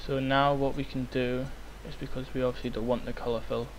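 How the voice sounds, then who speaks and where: Young guy, croaky sick voice, flat delivery, cheap mic with a bit of hiss. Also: mid-range voice, fairly quick, poor audio. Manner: rambling, many ums. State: hoarse from illness. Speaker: tutorial maker. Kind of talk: screen-recorded software tutorial. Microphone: cheap microphone.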